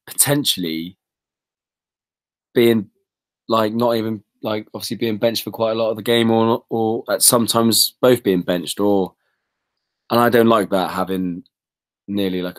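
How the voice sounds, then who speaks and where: A man chatting casually as a podcast co-host, rambling and thinking aloud as he discusses fantasy football. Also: on the low side.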